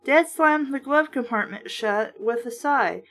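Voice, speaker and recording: A woman with a fairly high voice narrates a storybook and comments on it as she goes. Her delivery is casual and blunt, with sarcasm and free swearing. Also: a little slow, fairly bright tone. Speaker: narrator and commentator reading a book aloud. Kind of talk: storybook read-aloud with casual, irreverent commentary.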